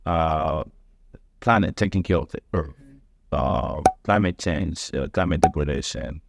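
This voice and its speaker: A man's voice: a bass voice, a little slow, gravelly, background noise. A man hosting an interview show, asking questions in a friendly, upbeat way and laughing often. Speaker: host.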